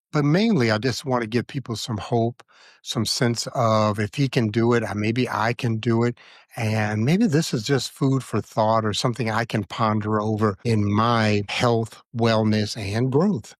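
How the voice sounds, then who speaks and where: A man's voice: lowish voice, dry recording, studio quality. Earnest man in his sixties. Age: 60s. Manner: earnest, measured, with frequent fillers. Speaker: podcast guest. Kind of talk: reflective conversational interview.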